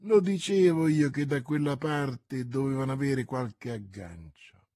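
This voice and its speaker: A man's voice: mid-range voice, a little slow, gravelly, mellow, dry recording, clean studio sound. A man voicing a scheming noblewoman, cool, guarded and watchful, as a character in an audiobook. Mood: guarded, pensive. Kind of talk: audiobook character voice, classic novel.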